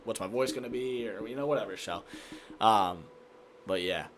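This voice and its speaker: A young American man with a slightly low voice talks in a casual, energetic way, like a sportscaster guest on a show. He often slips into quoting himself. There is some background noise around him. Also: moderate pace. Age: young adult. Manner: energetic, animated self-quoting. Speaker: guest, TV sports reporter and anchor. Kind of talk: casual chat.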